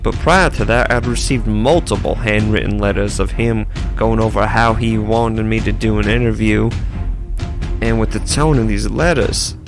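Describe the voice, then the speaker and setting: A man playing a measured, earnest, slightly awkward small-town clergyman in a radio drama. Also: on the low side, vocal fry, over music. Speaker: actor in an audio drama.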